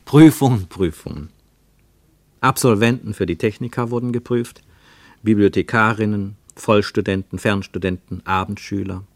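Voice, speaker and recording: An actor as the narrator of a literary radio play, telling a travel story in measured, rhythmic phrases. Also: male voice, mid-range voice, creaky, no room sound.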